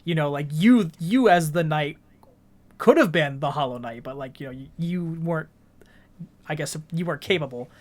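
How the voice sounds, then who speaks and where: A man's voice: fairly high pitch, normal speed. A man co-hosting a podcast, talking casually and explaining things in colloquial language. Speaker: podcast co-host. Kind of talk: casual video game discussion.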